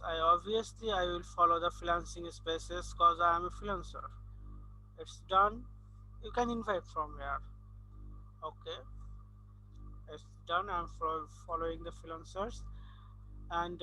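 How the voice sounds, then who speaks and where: Male tutorial presenter, Bangladeshi accent, Bangla native. Slow, halting delivery, piercingly bright voice. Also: a little high, slight background noise, poor audio. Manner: halting, hesitant, piecemeal phrasing. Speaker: freelancer giving an online tutorial. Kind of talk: unscripted screen-recording tutorial.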